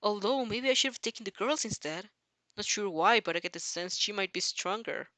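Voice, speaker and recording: A woman's voice: medium pitch, a little fast, fairly bright tone, no room sound, lightly acted. A woman voicing an amused, menacing male slime villain in a fan fiction reading, mocking and condescending. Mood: musing.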